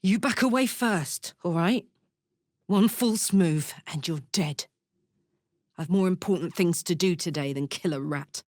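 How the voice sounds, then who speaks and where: A woman voicing a brash, warm-hearted, battle-hardened fantasy warrior in a video game, with a blunt, earthy, emotive delivery. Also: on the low side, measured pace, dry recording, studio quality. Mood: threatening. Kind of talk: video game character voice acting.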